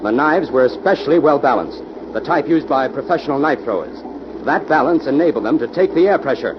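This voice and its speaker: An American actor playing a confident, commanding detective who explains things methodically in an old-time radio mystery. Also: male voice, mid-range voice, expressive, a little fast, close to an everyday voice. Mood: assured. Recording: dull, noisy vintage radio recording.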